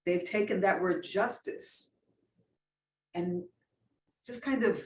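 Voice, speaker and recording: A woman's voice: medium pitch, sing-song, slow pace, creaky. A woman pastor on a panel, telling a story in an earnest, reflective way. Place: event room. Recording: roomy distant sound with faint noise.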